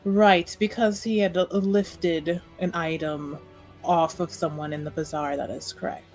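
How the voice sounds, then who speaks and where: A woman's voice: lowish voice, level tone, a little slow, a little mellow, faint music behind. A woman playing a coy, gossipy masked lady in an improvised tabletop roleplay, with a theatrical, faux-scandalized manner. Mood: matter-of-fact.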